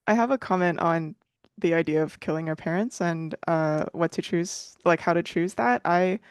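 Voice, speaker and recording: A woman's voice: fairly low pitch, moderate pace, clear, resonant tone, dry recording. Hesitant woman from Canada, dry close mic. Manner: hesitant, many fillers. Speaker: audience participant. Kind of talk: casual group discussion.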